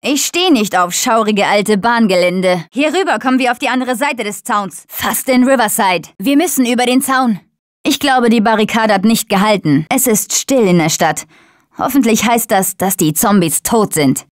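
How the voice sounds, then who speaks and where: A woman's voice: a little high, animated, moderate pace, dry recording, clean studio sound, close to an everyday voice. An actress voicing a tough, wry young female survivor of a zombie apocalypse in short video game callouts. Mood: determined, wry.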